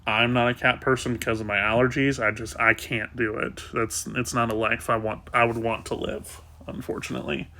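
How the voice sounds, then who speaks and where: A man's voice: medium pitch, little pitch movement, a little fast, hardly any pauses. Stuffed-up man chatting casually. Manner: soft, rambling, with fillers. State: congested, sniffling. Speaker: kitten rescuer. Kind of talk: casual vlog talk.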